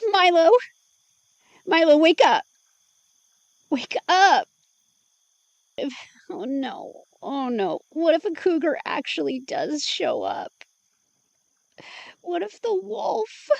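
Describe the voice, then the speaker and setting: A woman's voice: high voice, wildly swooping pitch, slow pace, creaky, long pauses, no echo, heavily acted. An actress playing a jittery young woman vampire, anxious and exclamatory in an audio drama. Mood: panicked.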